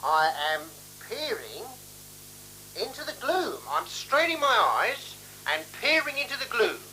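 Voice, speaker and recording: Solemn wondering sidekick, really slow and deliberate, sharp bright voice, old radio play with some static. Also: male voice, very high voice, wildly swooping pitch, exaggerated voice, poor audio. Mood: eerie wonder. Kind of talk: 1970s radio play acting. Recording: humming, static-flecked 1970s radio recording.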